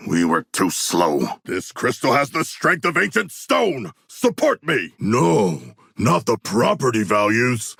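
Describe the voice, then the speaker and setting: A man voicing a huge, proud shark-man warrior in a video game, gravelly, formal and earnest, with stilted, grandiose diction. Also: lowish voice, pushed and bright, dry recording, clean studio sound, full character voice. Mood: proud, commanding. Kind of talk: video game character voice lines.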